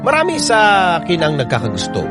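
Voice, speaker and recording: A cocky young campus heartthrob. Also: male voice, on the high side, wildly swooping pitch, fast, loud music behind the voice. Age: young adult. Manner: cocky, teasing, playful. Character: smug handsome young college heartthrob. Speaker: narrator and lead character. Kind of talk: radio drama acting.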